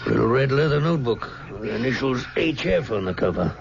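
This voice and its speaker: A plain-spoken deputy in an old radio mystery, speaking slowly in a gravelly voice. His pitch rises and falls a lot. The recording is dull and carries a clear hiss. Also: expressive. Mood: matter-of-fact. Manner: gravelly, matter-of-fact. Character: gruff deputy investigating a graveyard murder. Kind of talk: 1970s radio drama acting.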